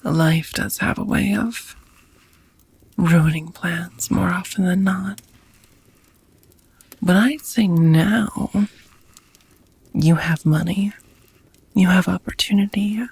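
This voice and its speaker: A woman acts out a doting, languid vampire lover in an intimate ASMR roleplay. Her voice is very husky and breathy, slipping often into whispers, with a creaky edge. She speaks slowly and tenderly, with a touch of old-fashioned formality. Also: mid-range voice, a little mellow, exaggerated voice. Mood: gentle, curious. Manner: slow, intimate, slightly formal phrasing. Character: affectionate centuries-worn female vampire lover, languid and doting. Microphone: close microphone, dry.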